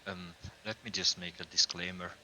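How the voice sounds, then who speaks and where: A man with a Portuguese accent speaks low and deep, yet his voice has a very sharp, bright edge. He rambles casually as a game player. Faint background noise sits under his voice. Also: moderate pace, low-quality recording. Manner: relaxed, rambling with fillers. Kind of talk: casual chat.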